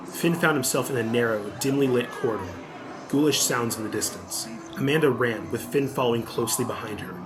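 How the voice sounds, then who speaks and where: Narrator: man, mid-pitched voice, scripted storybook read with clear music underneath. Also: brisk.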